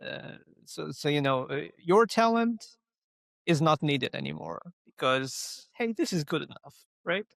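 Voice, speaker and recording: Slow, hesitant male interview guest, Ukrainian native, slightly high voice, dry sound. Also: expressive, gravelly, a bit bright, no room sound. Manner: halting, many fillers and repeated words. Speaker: guest, localization company founder. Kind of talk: conversational interview.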